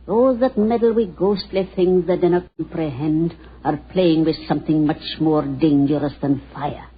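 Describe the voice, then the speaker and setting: An actress playing an ominous, superstitious older housekeeper in a 1940s radio drama, with a Scottish accent and a dour, foreboding manner. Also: female voice, sits low, moderate pace, breathy edge. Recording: muffled, noisy old 1940s radio recording.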